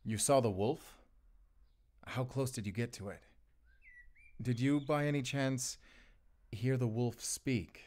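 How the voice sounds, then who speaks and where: A man playing an earnest, gentle wolf hunter in an audio roleplay, speaking in a measured, sincere way. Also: mid-range voice, close to an everyday voice. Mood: gentle, reassuring. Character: grown male wolf hunter, earnest, gentle, protective, duty-bound. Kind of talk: cinematic audio roleplay acting.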